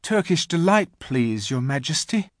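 A man's voice: fairly high pitch, big pitch swings, a little slow, no echo, clean studio sound, pitched above the performer's own voice. A man voicing a timid, polite young boy in an audiobook, meek, deferential and hesitant. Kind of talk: audiobook character voice.

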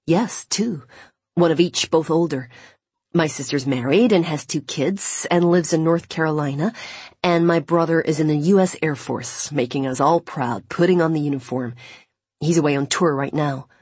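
A woman's voice: sits low, moderate pace, darkish tone, husky, dry recording. A woman voicing a friendly man who is casual, sincere, a little wistful and playful, in an audiobook. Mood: warm, proud.

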